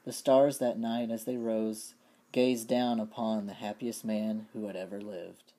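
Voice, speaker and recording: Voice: mid-range voice, little pitch movement, unhurried, dark tone. A person reading a story aloud in a storybook narration style. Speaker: reader of a story.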